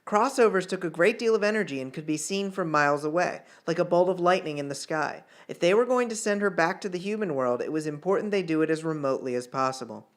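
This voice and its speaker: A man's voice: fairly high pitch, lively intonation, fast, few pauses. Man reading a novel aloud. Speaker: author reading own novel chapter. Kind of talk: audiobook-style fiction narration.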